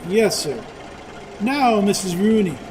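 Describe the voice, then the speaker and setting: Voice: very animated, takes it slowly. An actor playing a chatty, deferential young male porter in a radio play, with an eager, obliging manner. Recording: very noisy, engine rumble over voice.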